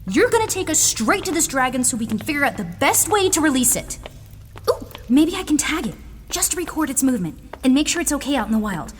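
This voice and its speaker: An excitable young animal lover in an audio drama. Her high voice is righteous and outspoken, leaping about in pitch. It echoes in a long reverb with faint noise. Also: fast talker, soft background music. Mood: excited, impatient. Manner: eager, outspoken, scolding. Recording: echoey hall with some noise.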